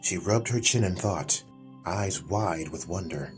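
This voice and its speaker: A man's voice: deep voice, measured pace, music in the background. A man reading scripted fantasy audiobook narration as the storyteller.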